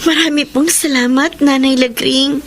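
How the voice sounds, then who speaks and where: A woman's voice: sits high, fairly even intonation, moderate pace, fairly bright tone, a little hiss or hum. A woman with a Filipino accent playing a humble, polite young working mother in a radio drama. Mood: humble, hesitant. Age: young adult.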